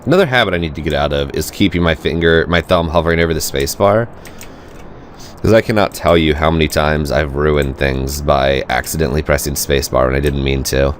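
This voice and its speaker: Very deep-voiced congested man gaming. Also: a little fast, slight background noise. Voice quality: congested, nasal. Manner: thinking aloud, rambling with fillers. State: stuffed up with a cold. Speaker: gaming channel host. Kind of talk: let's play commentary.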